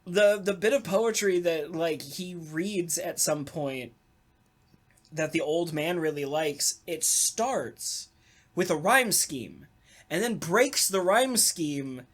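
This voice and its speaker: A podcast co-host in casual conversation, with a mid-range voice and a slow pace. The talk rambles loosely and carries a wry sense of humor. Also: medium pitch. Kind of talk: casual chat about a radio play.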